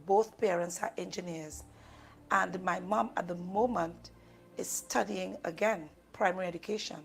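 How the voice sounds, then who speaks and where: A woman interpreting a guest's answers into English, in a measured and halting way. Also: lowish voice, fairly even intonation, vocal fry. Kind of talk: live interpretation.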